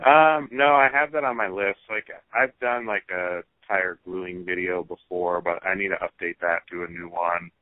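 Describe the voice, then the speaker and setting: A man talking casually as a racer being interviewed over the phone, pausing often as he thinks through his answers. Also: low voice, fairly even intonation, no echo. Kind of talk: casual phone interview about hobby racing. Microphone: telephone. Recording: tinny, band-limited phone line.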